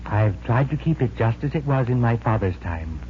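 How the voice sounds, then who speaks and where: An actor in a 1940s radio mystery playing a courteous, formal and uneasy owner of a haunted mansion. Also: male voice, mid-range voice, expressive, lightly acted. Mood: polite, grave. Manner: formal, polite, measured. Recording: muffled, noisy 1940s radio recording.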